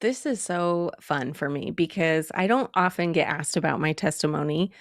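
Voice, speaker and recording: Lively storytelling woman, dry sound. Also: low-pitched, normal speed, no echo. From Utah, USA. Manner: lively, laughing storyteller who acts out her own past thoughts. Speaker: comedian guest. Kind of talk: personal storytelling in an interview.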